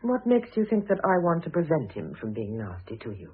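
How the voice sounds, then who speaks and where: An actress playing a composed, dry-witted mature British mother, brisk and commanding, in an old-fashioned radio drama. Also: low voice, huge pitch swings, slightly rough, exaggerated voice. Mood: cool, dry. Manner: brisk, commanding, drily ironic. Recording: thin phone-like vintage radio sound.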